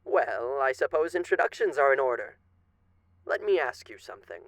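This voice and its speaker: A man's voice: mid-range voice, sing-song, normal speed, fairly bright tone, dry recording. A man playing a suave, menacing radio demon in an ASMR roleplay, speaking with genteel, teasing, theatrical politeness. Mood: showman-like, sly.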